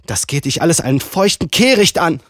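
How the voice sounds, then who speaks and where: A man voicing a proud young woman who answers with sharp, defiant scorn. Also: fairly high pitch, sing-song, fairly quick, no room sound, studio quality, pitched above the performer's own voice.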